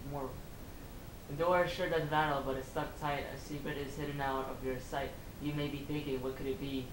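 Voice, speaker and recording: A man giving casual video game commentary and reading on-screen text aloud in a halting way, often stumbling over words. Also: mid-range voice. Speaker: let's play gamer. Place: indoor room, filming a TV screen. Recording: roomy, loud background noise and game ambience.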